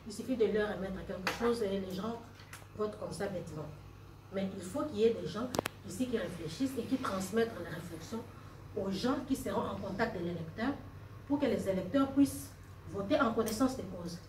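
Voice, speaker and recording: A woman's voice: medium pitch, a little fast. Emphatic Congolese woman in a noisy room. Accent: Congolese French. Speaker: party member speaking from the floor. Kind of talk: impromptu speech at a political meeting. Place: meeting room, indoors. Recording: roomy, distant mic, noisy hall.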